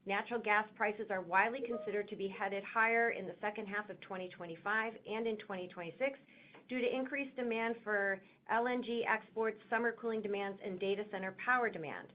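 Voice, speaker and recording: A woman's voice: mid-range voice, a little fast. A woman moderator on an earnings call, reading investor questions aloud. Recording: muffled phone line, faint noise.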